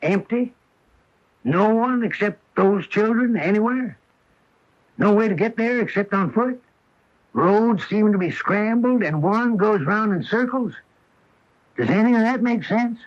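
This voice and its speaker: A small-town handyman with a fairly high voice. He is plain-spoken, questioning and emphatic, in a radio mystery play with a dull, lo-fi sound. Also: animated, unhurried, long pauses. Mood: agitated, baffled. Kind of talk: 1980s radio mystery drama acting. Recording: dull lo-fi radio broadcast recording.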